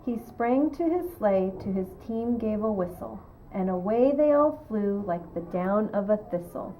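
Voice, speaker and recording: A woman reading a holiday poem aloud with a clear storytelling delivery. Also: mid-range voice, animated, measured pace, dark tone, a little hiss or hum, rough recording.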